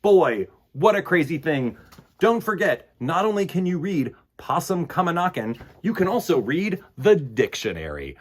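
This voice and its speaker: A man's voice: on the high side, sing-song, normal speed. A man hosting a children's storytime, reading aloud and chatting in a theatrical, emphatic way. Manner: theatrical, emphatic, swings between loud bursts and hushed asides. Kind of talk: animated read-aloud and chat to children.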